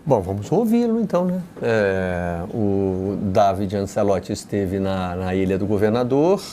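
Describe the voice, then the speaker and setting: A man hosts a football show and speaks in a measured, reflective way. His voice is dark and mellow, and there is faint noise behind it. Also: lowish voice, very animated. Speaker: sports show host. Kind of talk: casual football panel talk.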